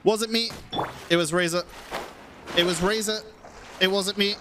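High-voiced man streaming a game over music. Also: steady tone, measured pace. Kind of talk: casual live-stream commentary. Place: small indoor streaming room.

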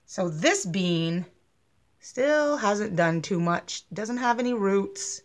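Slow-talking middle-aged woman teaching preschoolers. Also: medium pitch, lively intonation. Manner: simple, step-by-step instructing. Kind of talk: hands-on lesson for young children. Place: carpeted living room at home.

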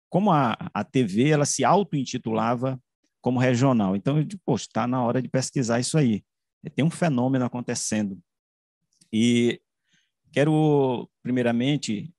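A middle-aged man giving an academic online presentation, speaking haltingly with frequent self-corrections and repeated words. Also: medium pitch, darkish tone, dry recording, studio quality. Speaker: researcher presenting his study. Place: indoors, room with bookshelves, video call. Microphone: desk microphone close to the mouth.

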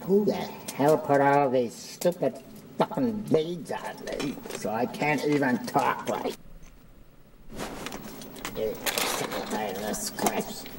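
A man's voice: on the high side, noisy background. A man voicing a small, pompous old uncle who calls himself a mastermind, grandiose and condescending. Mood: irritated. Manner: grandiose, condescending, slow and deliberate.

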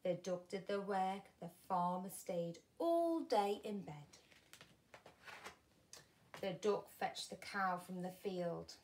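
Woman in her 30s reading a kids book at home, slow n careful, acts it up with big pauses. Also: medium pitch, a little hiss or hum, rough recording. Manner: animated, deliberate, dramatic pauses. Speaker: primary school teacher reading a story. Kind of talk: expressive children's storytelling. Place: small furnished room at home, indoors.

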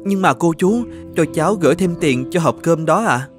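A man voicing a poor, polite young student in an animated moral tale, hesitant and deferential as he talks. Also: a little high, moderate pace, over music, lightly acted. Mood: grateful, earnest.

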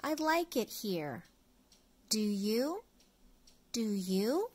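Middle aged lady reading a kids picture book out loud, really slow and deliberate. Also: mid-range voice, sing-song, husky. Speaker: storyteller, children's read-along host. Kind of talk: read-aloud of an early reader picture book for young children.

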